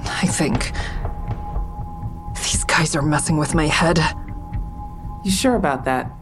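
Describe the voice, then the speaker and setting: A sardonic woman comedian in an audio drama, with a low-pitched voice. She is guarded and defensive, narrating her private thoughts in a wry, confessional way. Faint music runs underneath. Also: slow pace. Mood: defiant. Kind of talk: full-cast audio drama acting with first-person narration.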